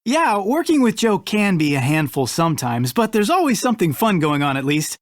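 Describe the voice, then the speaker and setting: An actress playing a polite, cautious young friend in a video game, earnest and a little hesitant. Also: female voice, on the low side, sing-song, normal speed, no room sound, clean studio sound.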